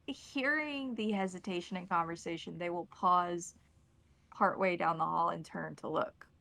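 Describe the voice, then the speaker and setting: Creaky-voiced woman running a tabletop game. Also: fairly low pitch, moderate pace, sharp tone. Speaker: game master. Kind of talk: improvised tabletop game narration.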